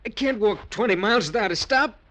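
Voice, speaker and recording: A weary, grumbling treasure hunter, with a bright, edgy voice pitched a little high. His talk is blunt and complaining, in the style of 1940s radio acting. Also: lively intonation, a little fast.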